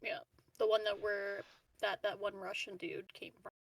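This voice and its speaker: A woman's voice: medium pitch, steady tone, moderate pace, creaky, a bit bright. A woman playing a tabletop game, chatting casually as she joins in. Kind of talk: casual chat. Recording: distant from the mic, no echo.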